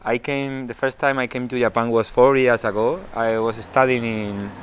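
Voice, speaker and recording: A man's voice: medium pitch, flat delivery, moderate pace. A man in his thirties from Spain, a guest on a walking tour, chatting eagerly but hesitantly in English. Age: 30s. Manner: enthusiastic, hesitant, restarts his phrasing. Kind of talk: casual chat. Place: outdoors on busy town streets. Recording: outdoor street noise.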